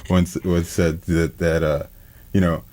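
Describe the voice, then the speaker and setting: A middle-aged man from New York City, a radio guest talking in a soft, halting way with many restarts. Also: low-pitched, level tone, no echo. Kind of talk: casual radio interview. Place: radio studio.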